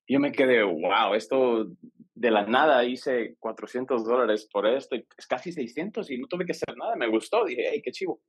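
A man from El Salvador with a Salvadoran accent, a guest on a podcast, telling his story in a lively way and acting out the dialogue he quotes. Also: mid-range voice, normal speed, breathy edge. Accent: Salvadoran Spanish. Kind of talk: casual storytelling interview.

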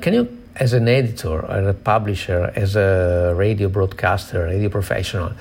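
Low, creaky-voiced male interviewer. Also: normal speed, darkish tone. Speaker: interviewer and host. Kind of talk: conversational interview questions.

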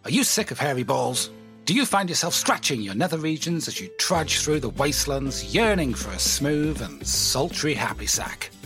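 A man's voice: on the high side, fairly bright tone. Guy putting on a hammy wasteland radio ad salesman, grandiose, swoopy voice, bit of music behind. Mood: showy pitch. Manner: theatrical, grandiose salesman delivery. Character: cheesy, showy male wasteland radio pitchman. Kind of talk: parody radio advertisement.

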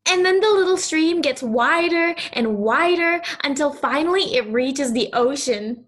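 Audio play: actress as kind little girl, high pitch, unhurried, sincere and slightly hesitant. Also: lively intonation, fairly bright tone. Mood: eager wonder. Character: kind, earnest young girl befriending a mayfly.

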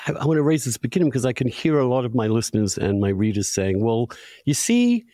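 A man's voice: medium pitch, very animated, fast talker, warm tone, no room sound, clean studio sound. A man hosting a podcast, interviewing in a conversational, probing and emphatic way. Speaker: podcast host.

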